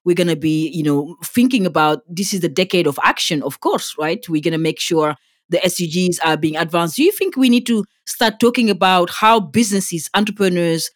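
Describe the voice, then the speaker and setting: A woman's voice: on the low side, no room sound, studio quality. A woman hosting a podcast interview, chatty and enthusiastic as she asks questions. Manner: enthusiastic, chatty, uses you know. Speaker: podcast host.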